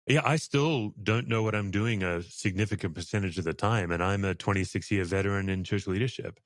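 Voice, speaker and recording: Man, middle-aged, podcast host, very low voice, dry close recording, reflective, self-deprecating. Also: fairly quick, no room sound. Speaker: podcast host, church leader. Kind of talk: conversational interview.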